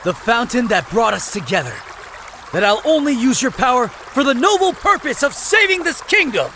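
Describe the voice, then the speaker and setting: Eager, high-voiced young nobleman. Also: very animated, moderate pace, sharp tone, slight background noise, heavily acted. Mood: excited, grand. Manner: talkative, breezy, theatrical. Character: eager, chatty young nobleman with earnest hero's idealism. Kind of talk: video game voice acting.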